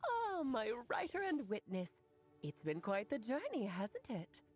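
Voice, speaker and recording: A woman's voice: fairly high pitch, wildly swooping pitch, unhurried, soft background music, heavily acted. A woman voicing a self-assured, playful witch in a video game, with grand, teasing, formal diction. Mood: playful, proud.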